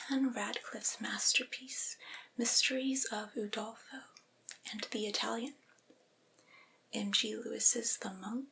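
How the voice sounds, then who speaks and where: A woman reading a literary essay aloud for ASMR in a breathy, unvoiced whisper, with hushed, careful phrasing. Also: fairly high pitch, a little hiss or hum, low-quality recording.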